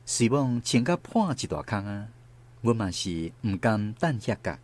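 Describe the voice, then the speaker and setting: A man's voice: lowish voice, big pitch swings, measured pace, darkish tone. A man with a Taiwanese accent narrating a scripted first-person story for a radio drama. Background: faint guitar music.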